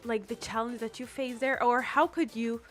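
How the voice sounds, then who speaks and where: Woman radio host, higher voice, encouraging interviewer, faint music bed. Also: fairly even intonation, moderate pace, a bit bright. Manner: encouraging, with frequent affirmations.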